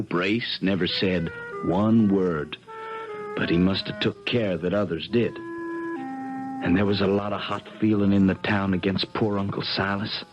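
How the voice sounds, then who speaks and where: An actor playing an earnest, folksy young Missouri man, a reassuring lawyer type, in 1970s radio drama style. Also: lowish voice. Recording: muffled 1970s radio broadcast, faint music.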